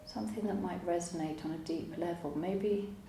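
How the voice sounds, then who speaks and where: A woman teaching yoga nidra, guiding a relaxation meditation in a calm, soothing, unhurried way with long pauses. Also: lowish voice. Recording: roomy echo, faint background noise.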